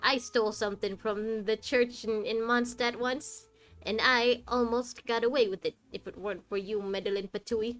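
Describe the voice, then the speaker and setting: A woman's voice: fairly high pitch, steady tone, normal speed, soft background music. A woman doing casual live game commentary as a streamer, with a wry, teasing, sweary manner.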